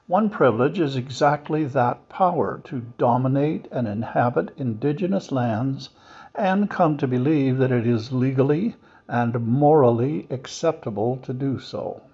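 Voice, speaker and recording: Man, husky voice, medium pitch, scripted reading, dull lo-fi recording. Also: unhurried, warm tone. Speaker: reader in a church service.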